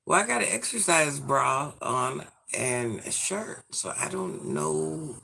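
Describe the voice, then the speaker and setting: A man in his forties, hoarse from illness, chatting sassily with viewers on a livestream and reading out their comments. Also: mid-range voice, very animated, takes it slowly, pushed and bright. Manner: sassy, chatty, addresses viewers by name. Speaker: livestream host.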